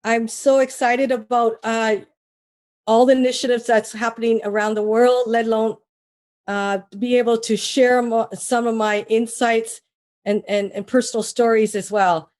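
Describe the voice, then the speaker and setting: A woman's voice: a little high, steady tone, normal speed, a little mellow. A woman, a mortgage broker being interviewed, talking casually with many restarts and fillers. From Trinidad. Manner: rambling, with frequent restarts and fillers.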